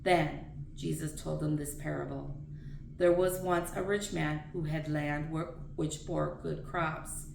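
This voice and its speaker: A woman's voice: low voice, fairly even intonation, slow, vocal fry, darkish tone. A woman reading scripture aloud in an earnest, plain read-aloud manner, with the occasional stumble. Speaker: reader of daily scripture readings. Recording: roomy echo, distant mic, faint noise.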